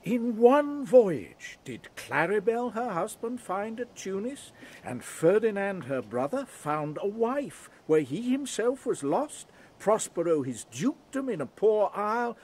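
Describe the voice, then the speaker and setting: Man acting husky, high-voiced devout old courtier. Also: big pitch swings, slow, darkish tone, full character voice. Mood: reverent joy. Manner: declamatory, heartfelt. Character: devout, joyful old courtier, tearful and reverent. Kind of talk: Shakespearean audio drama acting.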